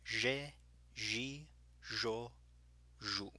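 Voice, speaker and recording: A man teaching a language lesson, slowly sounding out syllables, with a slight Spanish tinge to his English and many "uh"s and "um"s. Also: mid-range voice, bright, forceful tone. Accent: English with some Spanish influence. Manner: slow, careful, with frequent "uh" and "um".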